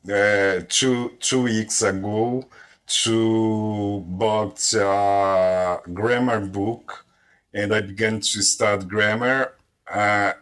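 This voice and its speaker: Slow, halting Brazilian man. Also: fairly low pitch, fairly bright tone, smooth. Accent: Brazilian Portuguese. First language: Portuguese. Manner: hesitant, halting, frequent restarts. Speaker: language learner. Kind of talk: online conversation party chat.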